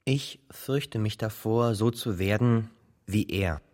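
An earnest, troubled young man of nineteen in a radio drama. His voice is fairly low and he speaks as a protesting son. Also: takes it slowly, darkish tone. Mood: anxious protest. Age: young adult.

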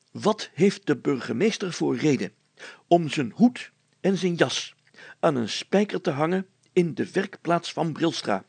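A man's voice: fairly high pitch, gravelly, darkish tone, breathy edge, dry recording, pitched above the performer's own voice, exaggerated voice. A man voicing a pompous, self-important village schoolmaster who plays detective in a storybook, declaiming in formal words. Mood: triumphant deduction.

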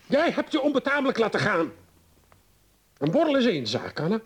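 A man's voice: sits high, huge pitch swings, fairly quick, husky, heavily acted. An actor in a radio play playing a domineering middle-aged husband who scolds his wife in a stern, condescending, exasperated way. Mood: indignant, contemptuous.

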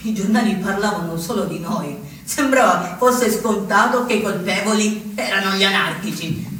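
An actor playing a wry male anarchist recounting a revolt in a measured, dramatic theatre monologue with deliberate pauses. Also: high-pitched, sharp tone. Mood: grave, subdued. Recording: very echoey stage, distant mic, noisy.

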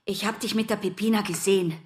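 An actress playing a distraught, fragile but stubborn daughter in a radio drama, with a husky, breathy voice. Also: female voice, mid-range voice, fairly even intonation, fast, a little mellow, close to an everyday voice. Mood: accusing, distressed.

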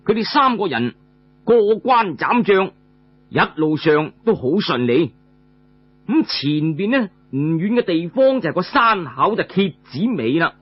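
A male Cantonese storyteller narrates an old tale dramatically. His voice is fairly high and very animated, with a husky grain. The recording is a bit dull, with mains hum. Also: a little slow, no echo. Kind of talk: traditional oral storytelling, audiobook narration.

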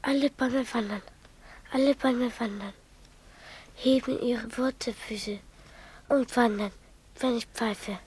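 Eerie, high, breathy apparition. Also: female voice, fairly even intonation, unhurried, pauses often, a little mellow. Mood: eerie, secretive. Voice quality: very breathy, half-whispered. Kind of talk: radio drama acting.

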